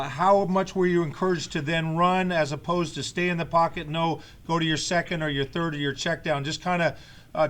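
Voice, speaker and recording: A man's voice: fairly high pitch, fairly bright tone. A man working as a sports reporter, asking a long, detailed, rambling question at a press conference.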